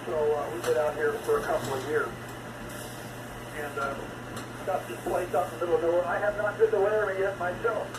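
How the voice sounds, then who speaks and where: Higher-pitched American man on noisy shortwave radio. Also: steady tone, a little fast, reverberant. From West Coast of the United States. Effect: heard over shortwave radio. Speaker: amateur radio operator. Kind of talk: casual ham radio conversation.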